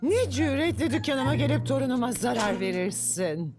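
An indignant grandmother villain from a children's cartoon. Her voice is high and menacing, fiercely protective in tone. Music plays clearly behind her. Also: lively intonation, normal speed. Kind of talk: dubbed children's cartoon acting.

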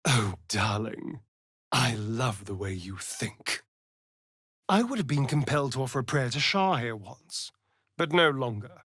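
Theatrical vain vampire, swooping pitch. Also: male voice, big pitch swings, measured pace, fairly bright tone, slightly rough, no room sound, clean studio sound, heavily acted. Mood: delighted, purring. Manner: arch, drawling, swinging from purrs to shrieks. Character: vain, sardonic male vampire spawn, centuries old, flamboyant. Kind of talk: theatrical video game character acting.

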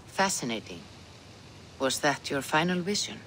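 Mystical Norse seeress, calm, probing, lively intonation, video game, noticeable background noise. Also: female voice, deep voice, moderate pace. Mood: calm, intrigued. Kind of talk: cinematic video game acting.

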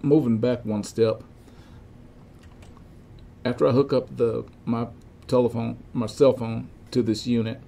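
An older man giving an informal instructional demonstration, explaining step by step in a halting, methodical way, recorded in a workshop. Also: medium pitch. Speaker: repair technician demonstrating equipment checks. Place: cluttered indoor electronics workshop. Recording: clear steady background room noise.

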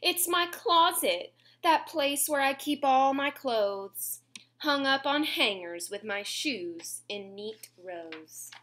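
A woman in her twenties reading a picture book aloud at home, expressively and clearly phrased for young children. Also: high voice, lively intonation, slow, bright, forceful tone. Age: 20s. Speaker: children's story reader. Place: small room at home, indoors.

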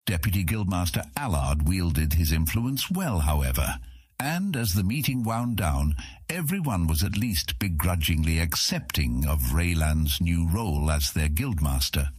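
A man reading scripted fantasy narration as an audiobook narrator, with a deep, husky voice. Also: no echo, studio quality.

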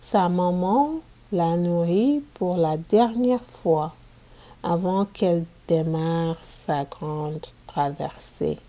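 Slow, mellow woman reading to children. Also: fairly low pitch. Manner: deliberate, repeats sentences for learners. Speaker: children's book reader and French teacher. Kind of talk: slow read-aloud of a picture book for children.

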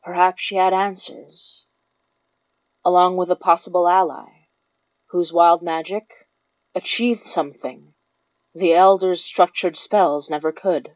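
A woman's voice: fairly low pitch, steady tone, measured pace, long pauses, no room sound, low-quality recording. A woman reading scripted narration for a horror story. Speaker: narrator of a horror fiction podcast.

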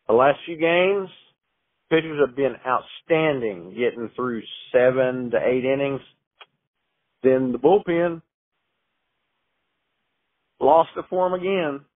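A man calling in with a measured sports complaint on voicemail. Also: medium pitch, slightly rough, dry recording. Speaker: caller. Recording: narrow phone voicemail line.

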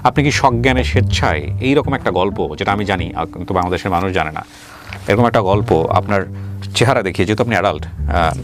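Low-pitched, fast-talking Bangladeshi man hosting. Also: animated. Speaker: host of a life-story interview show. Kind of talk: spoken show introduction and interviewing. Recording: loud background music under the voice. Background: music.

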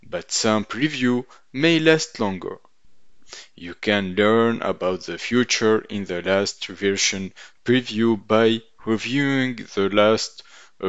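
A man giving a tutorial voice-over over slides, halting now and then with ums and uhs. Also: lowish voice, a bit bright, no echo. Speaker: instructor. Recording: dull lo-fi dry voice-over.

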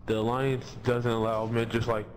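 A man's voice: medium pitch, level tone, normal speed. A man talking casually over in-game voice chat as a player in a sci-fi roleplay game. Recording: noisy game mic, loud vehicle ambience.

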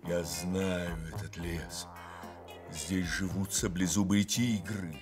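A man playing a big, timid, good-hearted cowardly lion in an expressive children's radio play. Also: sits low, takes it slowly, over music. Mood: fearful, explaining.

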